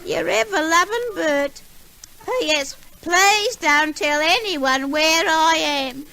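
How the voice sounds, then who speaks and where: An Australian actress playing a touchy, proud, dim working-class household helper in an old-time radio comedy, with ungrammatical speech and fussy cooing. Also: female voice, very high voice, takes it slowly, gravelly, pushed and bright, heavily acted. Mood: proud, earnest. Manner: ungrammatical, indignant, cooing baby talk. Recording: noisy old radio recording.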